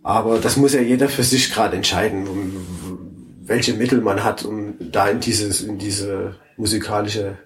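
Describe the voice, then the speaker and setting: A man's voice: fairly low pitch, steady tone, measured pace. A ukulele builder talking enthusiastically at a festival, often restarting and repeating words as he answers interview questions. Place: outdoor music festival.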